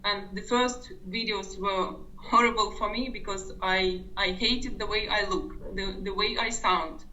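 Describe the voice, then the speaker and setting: Bright-voiced woman with a foreign accent. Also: medium pitch, fairly even intonation, measured pace, recorded from a distance, muffled recording. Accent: non-native English. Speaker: interview guest. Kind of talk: personal story.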